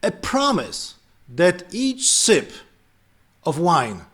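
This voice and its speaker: A man's voice: high voice, huge pitch swings, extremely slow, fairly bright tone, slightly rough. A man hosting and narrating a scripted explainer, breaking off into hesitant, filler-heavy asides. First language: not French. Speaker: host.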